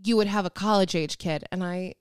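A woman's voice: lowish voice, clear, resonant tone, no echo, clean studio sound. A woman in her late thirties co-hosting a podcast, telling stories in a chatty manner and laughing easily. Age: late 30s. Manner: chatty, storytelling, quick to laugh. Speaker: podcast co-host. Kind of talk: casual chat.

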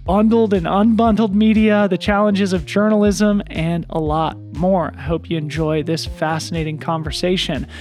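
A man hosting a podcast, asking questions in smooth, measured full sentences. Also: sits high, fairly even intonation, darkish tone, faint music behind. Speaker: podcast host. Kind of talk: podcast interviewing.

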